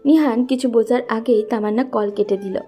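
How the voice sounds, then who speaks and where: A woman reads scripted romantic fiction as narrator. Her voice sits a little high and sounds soft and mellow, with faint music behind it. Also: steady tone, fairly quick. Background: faint background music.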